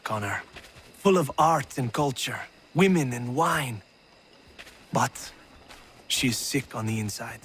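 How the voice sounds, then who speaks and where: A man's voice: mid-range voice, a little hiss or hum, lightly acted. A man with a French accent playing a gracious, idealistic young nobleman officer in a video game, courtly and poetic.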